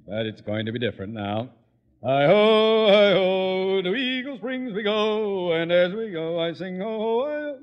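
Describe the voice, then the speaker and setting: An actor playing a pompous, scheming middle-aged school principal in old radio comedy, with a blustering, wheedling, theatrical manner. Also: male voice, high voice, lively intonation, unhurried, in a large hall, lo-fi sound. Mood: jolly. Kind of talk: 1950s radio comedy acting. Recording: noisy old-time radio recording.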